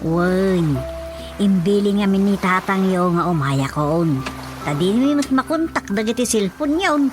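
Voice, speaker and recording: A woman's voice: mid-range voice, lively intonation, measured pace, lightly acted. An actress playing a fretful, plain-spoken older mother who complains matter-of-factly in an Ilocano radio drama comedy. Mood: concerned. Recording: music behind the voice.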